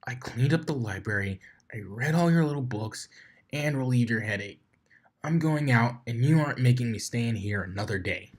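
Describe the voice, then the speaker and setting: A man's voice: mid-range voice, lively intonation, normal speed, breathy edge. Man doing a huffy adolescent boy dragon. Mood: defiant. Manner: huffy, defensive. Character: grumpy, defiant adolescent male dragon helper. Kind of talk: fan fiction reading with character voices.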